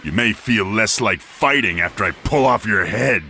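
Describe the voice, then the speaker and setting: A man's voice: on the low side, animated, moderate pace, bright, forceful tone, slight background noise, exaggerated voice. A man playing a blunt, brawny warrior in a video game cutscene. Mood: threatening. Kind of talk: scripted game cutscene dialogue. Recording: music behind the voice.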